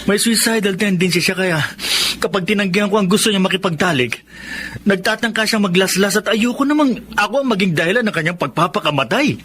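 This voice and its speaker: A man's voice: sits high, fairly quick, fairly bright tone. A man playing a manipulative, pleading husband in a Filipino audio melodrama, emotive and insistent, with a Filipino accent. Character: manipulative, possessive husband James, pleading and self-excusing. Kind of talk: Filipino radio-style melodrama acting.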